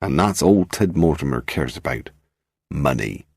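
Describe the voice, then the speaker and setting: A man's voice: extremely low pitch, animated, measured pace, vocal fry, darkish tone, no room sound, studio quality, heavily acted. A man playing a knowing, gossipy village barman with a rural English accent and folksy, darkly insinuating talk. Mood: darkly accusing.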